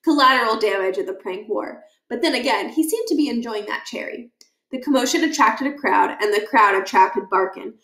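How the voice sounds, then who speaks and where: A woman reading a children's book aloud as an expressive storyteller, with animated, deliberate emphasis. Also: a little high. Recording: echoey, roomy sound.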